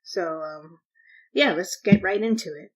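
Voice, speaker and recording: A woman's voice: lowish voice, a little slow. A woman hosting a reaction video, giving casual, offhand commentary in an informal way.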